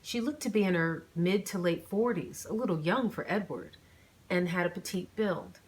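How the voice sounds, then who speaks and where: A woman's voice: lowish voice, normal speed, low-quality recording. Informal woman author hosting a reading channel. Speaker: author and channel host reading own novel. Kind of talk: chatty vlog intro, then audiobook narration.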